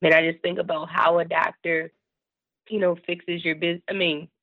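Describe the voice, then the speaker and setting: A woman in her thirties, raspy from a cold, chatting easily as a podcast guest and laughing often. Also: lowish voice, flat delivery, normal speed, no room sound. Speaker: podcast guest, business consultant. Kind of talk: casual interview talk. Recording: tinny phone-line sound.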